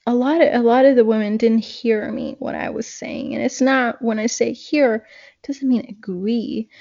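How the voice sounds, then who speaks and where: A reflective woman from Pakistan, a guest in an interview, talks slowly with many pauses and restarts. Her voice sits slightly high but sounds mellow. The audio is dull and lo-fi, like a remote call. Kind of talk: conversational interview.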